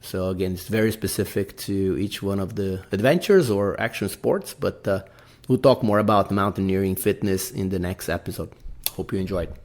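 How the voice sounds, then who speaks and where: Low gravelly man with a Brazilian accent. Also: moderate pace, darkish tone. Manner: rambling, tags many sentences with "right?". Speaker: podcast host. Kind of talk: casual solo podcast talk.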